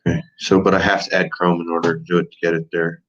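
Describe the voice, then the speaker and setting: A man's voice: low-pitched, flat delivery, fast, a bit bright. A man co-hosting a livestream test, chatting casually and easygoing. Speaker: livestream co-host. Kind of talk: casual chat. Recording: lo-fi livestream audio.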